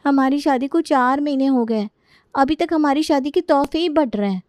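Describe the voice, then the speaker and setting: A suspicious young bride acted by a woman in an audio story, outspoken and insistent. Her voice is high, recorded dry and close. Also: moderate pace, darkish tone, dry recording, natural voice. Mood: questioning.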